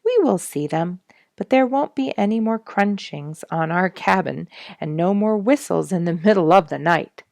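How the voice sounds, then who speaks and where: A resolute storybook naturalist who wants some rest speaks in firm, emphatic declarations, read by a woman. The voice is creaky and close, with very little room sound. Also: on the low side, lively intonation, moderate pace, a little mellow, no room sound. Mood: relieved. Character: weary, resolute male naturalist wanting rest.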